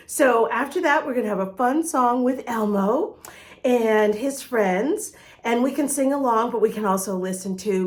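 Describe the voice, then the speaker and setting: A woman reading a picture book aloud to young children as a storytime host, expressive and often speaking to them directly with questions. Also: on the high side.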